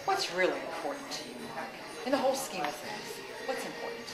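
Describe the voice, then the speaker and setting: Female host for a casual festival interview, animated pitch, loud music behind her. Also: brisk, a crowd in the background. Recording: echoey space with loud music.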